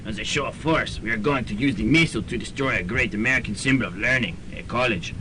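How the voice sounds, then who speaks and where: Comic villain kidnapper, male actor: menacing proclamations, bright forceful tone, noisy amateur recording. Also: mid-range voice, quick pace, natural voice. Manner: declamatory, threatening. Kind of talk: comic radio drama acting.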